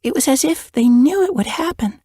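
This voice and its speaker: A man's voice: very high-pitched, expressive, moderate pace, mellow, no echo, studio quality, pitched above the performer's own voice. A man voicing an anxious, dreamy young female cat who talks in breathless apologies. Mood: wistful.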